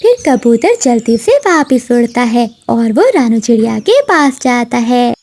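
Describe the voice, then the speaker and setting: A woman tells a children's story in a very high voice. Her scripted narration is slow and clearly paced, with animated rises and falls.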